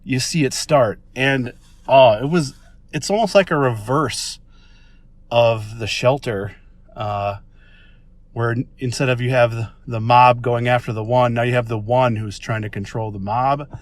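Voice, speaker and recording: A man's voice: mid-range voice, measured pace, no room sound. An American man leaving a casual voice message, talking in an enthusiastic, informal and rambling way. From Minnesota, United States.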